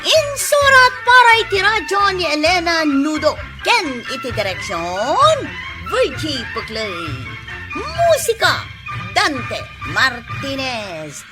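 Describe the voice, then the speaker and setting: Ilocano lady announcing a radio drama, high voice, slow and lilting over music. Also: huge pitch swings. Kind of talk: scripted announcement. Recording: music bed behind the voice.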